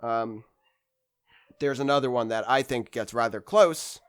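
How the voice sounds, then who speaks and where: A man's voice: medium pitch, a little slow, smooth. A man hosting a discussion video in a casual, unscripted way, rambling and hesitating as he gathers his thoughts. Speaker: anime discussion video host. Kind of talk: casual unscripted fan commentary.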